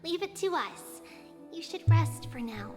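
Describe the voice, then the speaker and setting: Very high, childlike little teacher. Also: female voice, expressive, unhurried, a little mellow, faint music behind. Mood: gentle, caring. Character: ageless childlike female teacher, speaks as "we", caring. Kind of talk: anime-style game voice acting. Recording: long hall reverb.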